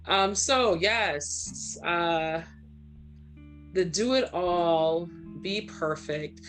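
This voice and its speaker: A woman in her forties speaks as a panel guest in a fairly low voice. She tells personal stories candidly and conversationally, often tagging her points with "right?". Soft plucked guitar plays faintly behind her. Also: extremely slow. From Brooklyn and Trinidad. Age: 40s.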